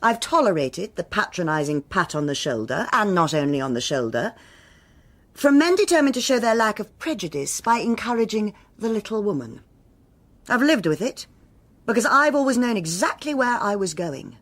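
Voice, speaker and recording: An actress playing a stern, self-assured senior policewoman with a British accent, speaking in a measured, formal and authoritative way in a radio drama. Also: mid-range voice, very animated. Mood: indignant, firm. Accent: British English.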